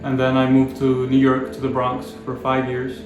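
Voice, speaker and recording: Young man from the Dominican Republic, 20s; measured, even delivery, very roomy echo and music under. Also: medium pitch. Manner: measured and explanatory. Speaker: planning graduate and local resident. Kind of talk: documentary interview. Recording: very echoey room with music behind.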